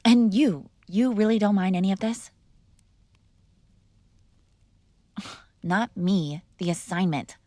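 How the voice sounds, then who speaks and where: A woman's voice: mid-range voice, moderate pace, dark tone, no echo, close to an everyday voice. A woman playing a mob boss's spoiled, bratty young adult daughter in an intimate roleplay, speaking casually with sarcastic, exasperated argument. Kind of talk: intimate ASMR roleplay acting, one-sided conversation.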